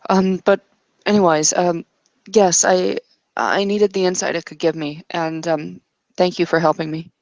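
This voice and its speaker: A woman voicing a conflicted, apologetic woman scout in an improvised tabletop roleplay, speaking haltingly and with awkward sincerity. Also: fairly low pitch, steady tone, a little mellow, dry recording, muffled recording. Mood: hesitant, grateful. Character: conflicted, apologetic young woman scout who runs a restaurant.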